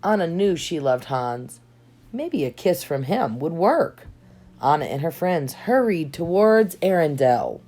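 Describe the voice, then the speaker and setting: Female children's storybook narrator, slightly low voice, very animated intonation, dramatic emphasis. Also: unhurried. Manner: dramatic emphasis on key words. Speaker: storybook reader. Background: soft music.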